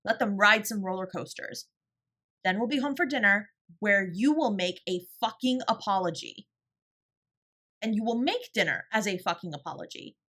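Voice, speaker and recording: A woman's voice: mid-range voice, lively intonation, normal speed, a bit bright. A woman playing a fiercely protective older sibling confronting a parent in an audio drama, scolding and emphatic with a sarcastic edge. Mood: stern, commanding. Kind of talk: dramatic audio roleplay acting.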